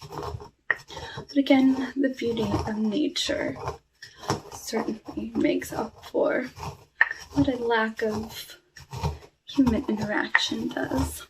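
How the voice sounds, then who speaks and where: A woman playing a lonely cursed witch in an ASMR roleplay, talking softly and wistfully with halting, hushed delivery over a noisy recording. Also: fairly high pitch, steady tone. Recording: clearly noisy with clicks.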